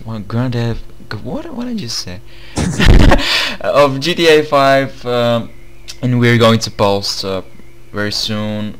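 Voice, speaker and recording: A young man with a Bulgarian accent co-hosting a casual gaming news commentary, chatty and halting with many fillers. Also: mid-range voice, very animated, a bit bright, a little hiss or hum. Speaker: gaming channel co-host.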